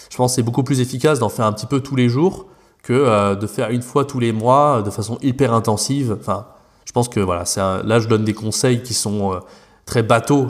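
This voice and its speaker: A French man, a language teacher, talking casually as a podcast guest, rambling with many fillers and restarts. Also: medium pitch.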